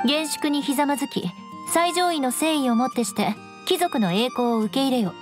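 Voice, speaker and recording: A woman's voice: high voice, normal speed, over music, lightly acted. A woman voicing a proud, formal young noblewoman knight in a game, haughty and easily flustered. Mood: haughty, ceremonial. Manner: haughty, archaic aristocratic phrasing. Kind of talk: Japanese game voice acting.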